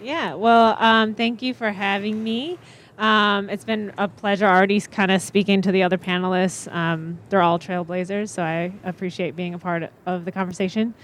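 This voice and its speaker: A young woman speaking as a panelist, introducing herself in a thoughtful, measured way with frequent fillers. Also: mid-range voice, fairly even intonation. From Chicago area. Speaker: panelist, media co-founder.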